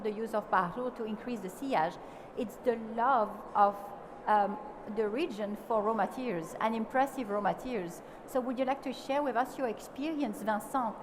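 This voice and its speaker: A woman moderating a conference panel, smoothly adding a point and handing over to the next speaker. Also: fairly high pitch, background noise. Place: conference stage.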